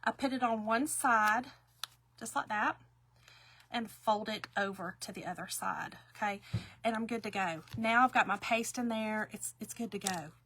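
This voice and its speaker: A woman's voice: a little high, moderate pace, fairly bright tone. A woman in her fifties giving a chatty, folksy crafting tutorial, often talking to viewers as y'all. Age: 50s. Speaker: craft demonstrator.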